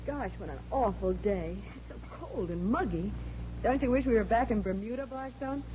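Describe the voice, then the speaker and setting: Excitable magician's girl assistant for old radio serial, woman actor, high-pitched, plucky, on a noisy recording. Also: moderate pace, highs cut off, lightly acted. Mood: chatty. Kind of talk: 1940s radio acting.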